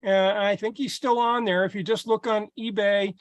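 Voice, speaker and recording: A man with a high voice walks through his hobby build in an easy, explanatory way, with an occasional filler. His voice sounds dry and close, with little room sound. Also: moderate pace, dry recording. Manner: relaxed, explanatory, some fillers. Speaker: hobbyist presenting his model. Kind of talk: casual show-and-tell explanation.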